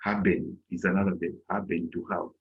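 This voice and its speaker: A man's voice: deep voice, level tone, brisk. A middle-aged man teaching a German lesson to an online class, acting out little dialogues in a raised voice. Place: indoor room on a video call. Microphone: laptop mic on a video call. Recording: lo-fi video call audio.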